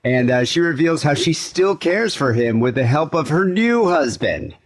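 A man's voice: fairly high pitch, big pitch swings, moderate pace. A man co-hosting a podcast, reading casual plugs and chatting. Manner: casual, rambling, fillers. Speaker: podcast co-host. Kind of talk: podcast chat and show plugs.

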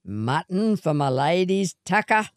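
A woman voicing a scruffy male wanderer and suitor in a storybook, boastful and coaxing, with measured delivery. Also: on the low side, very animated, no echo, studio quality. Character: scruffy swagman suitor, boastful, unhurried, persistent.

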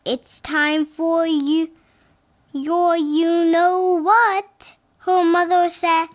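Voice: extremely high pitch, level tone, very slow. A six-year-old child reading a picture book aloud with chatty asides. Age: child, about six.

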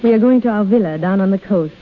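A woman's voice: medium pitch, fast talker, natural voice. Woman acting elegant swindler countess, cool and dismissive, teasing, 1930s radio drama, dull vintage broadcast sound. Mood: charming, coy.

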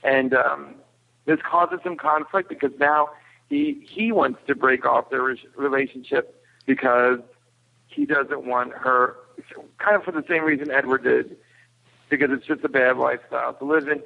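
Male podcast guest, casual halting explainer of a film, fry in the voice, thin telephone sound. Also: medium pitch, lively intonation. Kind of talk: casual film recap discussion. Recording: tinny phone-like line.

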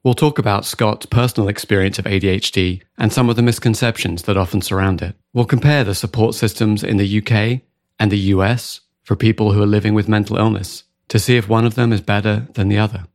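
A British man hosts a podcast in a fairly low voice, calm and deliberate. He moves from a read introduction into a relaxed interview. The recording is dry and close, with no room sound. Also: dry recording. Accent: British English. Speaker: podcast host.

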